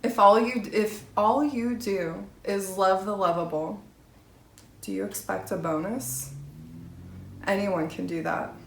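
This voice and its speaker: A woman's voice: medium pitch, takes it slowly, creaky, slight background noise. A woman reading scripture aloud for a church, with emphatic, direct delivery. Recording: roomy, echoing sound.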